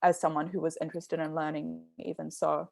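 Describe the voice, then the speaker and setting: Young woman, low voice, gravelly fry, dry close sound, reflective, explaining carefully. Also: level tone, fairly quick, darkish tone, no echo, faint music behind. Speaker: artist in conversation. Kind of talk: thoughtful conversational talk.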